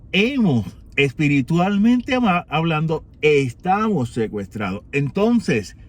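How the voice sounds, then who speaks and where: A man from Rico, giving an unhurried reflection for morning prayer, with many pauses and rhetorical questions. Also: mid-range voice. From Puerto Rico.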